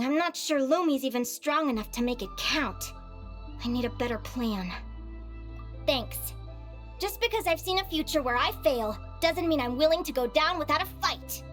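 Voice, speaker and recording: A woman acting as an upbeat, self-assured young advisor in a video game, speaking in a friendly, expressive, conversational way. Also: sits high, moderate pace, faint music behind. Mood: determined.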